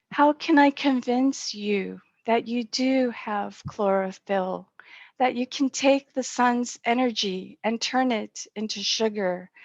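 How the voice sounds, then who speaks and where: A woman's voice: on the high side, dry recording. A middle-aged woman, a poet and publisher, reading and speaking at an online poetry event. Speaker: poet and small-press publisher. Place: indoors on a video call. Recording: dull, muffled online call audio.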